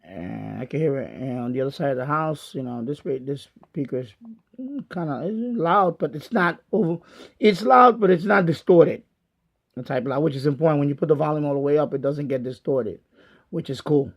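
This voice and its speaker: A man giving a casual hands-on product review in a halting way, repeating himself as he goes. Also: on the high side, animated, gravelly. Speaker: gadget reviewer and radio hobbyist. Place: basement of a house. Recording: dull lo-fi home video sound.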